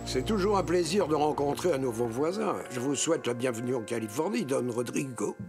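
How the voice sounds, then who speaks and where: A man's voice: mid-range voice, animated, fairly quick, close to an everyday voice. A man playing a proud, stubborn older ranchero father in a French cartoon dub, formal and dramatic. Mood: courteous. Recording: loud music behind.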